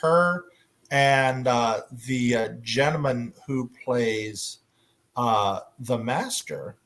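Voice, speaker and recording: A man hosts a casual panel discussion. His voice sits at an average pitch. He speaks in a halting way, often searching for the next word as he guides the talk. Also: a bit bright. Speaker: panel moderator.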